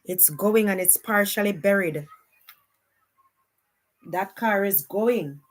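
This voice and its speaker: A woman with a Jamaican accent giving casual, unscripted live commentary as a streamer, often repeating her exclamations. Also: mid-range voice. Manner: unhurried, repetitive exclamations.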